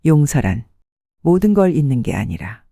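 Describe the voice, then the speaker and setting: Older woman, sixties, steady audiobook narrator, mellow tone with lively pitch, dry close sound. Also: normal speed, creaky, no room sound. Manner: calm, steady storytelling.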